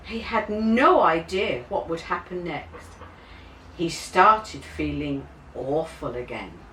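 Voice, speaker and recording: A woman narrating a children's story expressively, with dramatic, deliberate, drawn-out emphasis. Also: sits low, lively intonation, fairly bright tone. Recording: roomy, distant mic, faint hiss.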